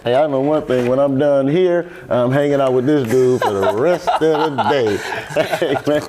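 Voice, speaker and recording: A man's voice: medium pitch, moderate pace, noisy background. A man hosting a casual interview show, friendly and easygoing, often calling his guest "man". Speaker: show host.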